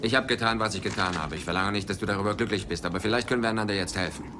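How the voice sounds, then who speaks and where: A man playing a rugged adventurer archaeologist in a dubbed film, with a low voice. He is self-assured, dry and skeptical, and pushes his point plainly. Background noise sits clearly in the old soundtrack. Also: racing, lightly acted. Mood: insistent, bargaining.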